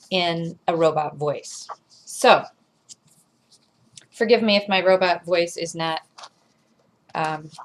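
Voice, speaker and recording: A woman's voice: on the low side, a bit bright. A woman speaking casually as the host of a reading series. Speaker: reader hosting a literature series. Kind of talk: casual chat to camera.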